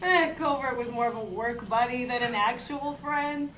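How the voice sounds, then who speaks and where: A woman's voice: on the high side, normal speed, fairly bright tone. A woman reading a character's lines at a comedy script table read. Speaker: reader of a character part. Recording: noisy echoey room, far from mic.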